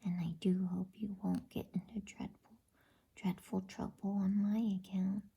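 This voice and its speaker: A woman voicing a kind, polite little girl with a gentle, earnest whisper in an ASMR bedtime story. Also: medium pitch, little pitch movement, a little slow, exaggerated voice. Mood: earnest reassurance. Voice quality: whispered, breathy.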